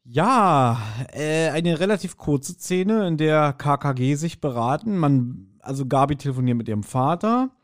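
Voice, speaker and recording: A man's voice: on the high side, animated, measured pace, hardly any pauses. A man co-hosting a podcast, casually discussing an audio drama in a colloquial, speculative way. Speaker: podcast co-host.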